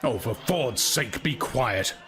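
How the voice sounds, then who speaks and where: A man's voice: medium pitch, expressive, slow, background noise, voice pushed up. A man voicing a brooding, discontented young man who speaks hesitantly and earnestly. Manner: hesitant, searching, derisive at times.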